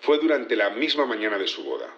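A man's voice: lowish voice, very animated, brisk, fairly bright tone, reverberant, lo-fi sound. An actor playing an earnest male security chief testifying in an audio drama, polite and explanatory with slight hesitations. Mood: hesitant, cooperative. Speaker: witness in a trial. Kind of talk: naturalistic audio drama acting.

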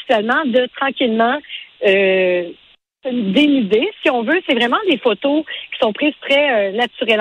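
A woman in her forties with a Quebec French accent, talking as a radio interview guest in a halting, conversational way. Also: fairly high pitch, a bit bright, slightly rough, low-quality recording. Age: 40s. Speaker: interview guest, event organizer and matchmaker.

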